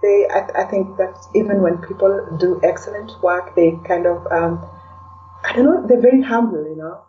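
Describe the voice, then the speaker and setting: A woman's voice: mid-range voice, moderate pace, distant mic, highs cut off, slight background noise. A woman from Kenya talking reflectively as an interview guest, in casual conversation, often tagging her sentences with "you know".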